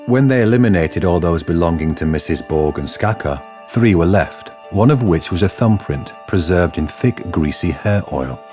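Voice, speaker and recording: A man's voice: sits low, expressive, moderate pace, few pauses, a little mellow, faint music behind. A man narrating a radio drama from a script.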